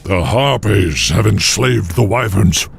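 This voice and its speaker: A wise old creature chieftain for a fantasy game. He speaks slowly in a creaky voice that swells and dips, solemn and full of caution. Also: lowish voice, lively intonation, soft background music, full character voice. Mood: grave, cautioning. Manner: solemn, weighty. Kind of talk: video game cinematic acting.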